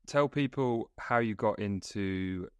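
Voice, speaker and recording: A young man hosting a podcast over a video call, upbeat and playful, speeding up and slowing down as he talks. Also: lowish voice, clear, resonant tone, dry recording. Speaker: podcast host. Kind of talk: casual podcast interview. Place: small room lit by coloured lights.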